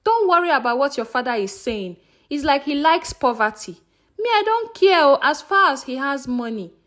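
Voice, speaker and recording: A woman's voice: high-pitched, sing-song, moderate pace, a bit bright. A woman voicing a pushy, money-loving village mother in a told folktale, insistent and dismissive.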